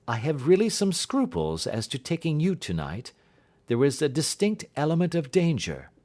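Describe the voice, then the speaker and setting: A man voicing a keen, assured detective in an audiobook, explaining things precisely and deliberately. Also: fairly high pitch, wildly swooping pitch, darkish tone, pitched above the performer's own voice. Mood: grave, measured. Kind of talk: audiobook character dialogue.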